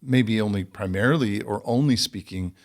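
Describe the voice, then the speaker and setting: A man's voice: lowish voice, normal speed. A man hosting an interview, asking long questions while searching for words. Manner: hesitant, searching for words with ums. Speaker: podcast host.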